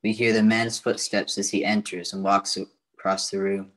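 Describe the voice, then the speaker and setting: An older man with a fairly low voice acts as announcer for an amateur radio play. He reads out scripted narration, setting the scene and describing what the characters do. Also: flat delivery, moderate pace, fairly bright tone.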